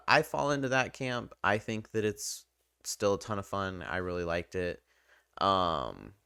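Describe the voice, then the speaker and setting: A man with a low, smooth voice talks through a casual game review, recorded close and dry. He speaks in a conversational, unscripted way, feeling his way toward each opinion with some hesitation. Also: fairly bright tone, no echo.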